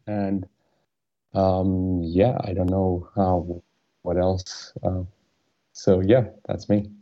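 A man's voice: low voice, flat delivery, very slow, dark tone, highs cut off. A young man from Iran, a band's guitarist and producer, answering interview questions hesitantly with many fillers. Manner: hesitant, frequent fillers and restarts.

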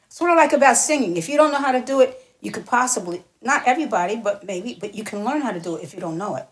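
Husky woman reminiscing with frequent laughs. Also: fairly high pitch, lively intonation, quick pace. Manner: laughs often, repeats words for emphasis. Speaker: interview guest, singer and stage actress. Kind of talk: relaxed reminiscing interview talk.

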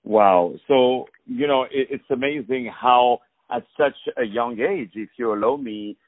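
A man's voice: medium pitch, a little slow, dry recording, phone-quality sound. A man hosting a radio interview, effusive and repetitive, stumbling over words in his long questions. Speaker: radio host.

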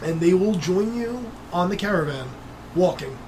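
A game master narrating a tabletop game in a relaxed, conversational way. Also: medium pitch, moderate pace, a little hiss or hum, poor audio.

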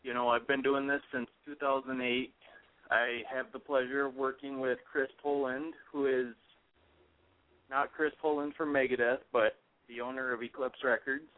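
A man's voice: medium pitch, level tone, moderate pace. Minnesota guy calling in on the phone, laid back, lots of uhs, tinny line. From California. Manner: easygoing, hesitant, chuckles. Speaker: guest, music promoter. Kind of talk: phone-in radio interview.

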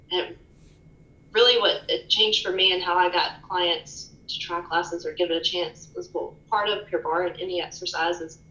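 A woman with a bright, lowish voice talks softly and candidly as a podcast guest. She sounds reflective and open. The room rings with echo, and the sound is a little dull. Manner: soft-spoken, candid and reflective. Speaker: podcast guest, fitness studio owner. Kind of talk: casual interview chat.

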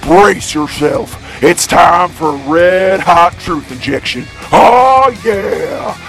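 Wild radio hype guy, high n croaky, drawn out hollering, folksy, bit of music behind. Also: expressive, slow pace, fairly bright tone, exaggerated voice. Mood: brash, fired up. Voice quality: gravelly. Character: brash, folksy male conspiracy-radio announcer, wild and swaggering. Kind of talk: over-the-top radio promo.

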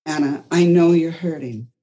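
A woman voicing a protective older caretaker who speaks firmly and chides gently. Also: low-pitched, normal speed, natural voice. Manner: firm, chiding, motherly.

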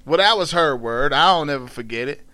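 A man voicing an elderly, proud and sorrowful formerly enslaved mother, telling her story in halting folksy dialect. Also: fairly high pitch, expressive, sharp tone. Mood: proud, riled. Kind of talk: dramatic read-aloud of a dialect tale.

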